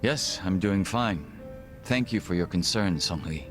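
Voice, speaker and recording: An actor playing a composed, formal gangster second-in-command in a video game cutscene, measured and reserved. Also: male voice, low voice, animated, soft background music. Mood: calm, formal.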